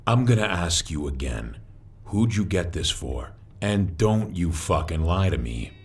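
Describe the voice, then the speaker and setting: A huge wolf thug, acted by a man in an audio drama, with a very low voice. He drawls slowly and menacingly like he owns the territory, yet his pitch swings expressively. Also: very animated, breathy edge, heavily acted. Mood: suspicious, incredulous. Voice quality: deep, low voice. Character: big intimidating territorial wolf, gang tough guy. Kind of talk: audio drama character acting.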